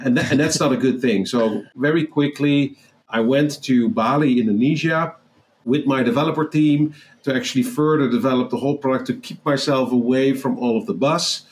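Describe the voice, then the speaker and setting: Dutch guy chatting away on an interview, lots of restarts and "super cool", mid pitch. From Netherlands. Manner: rambling, frequent restarts and fillers, enthusiastic. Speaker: guest entrepreneur. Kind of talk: casual storytelling interview.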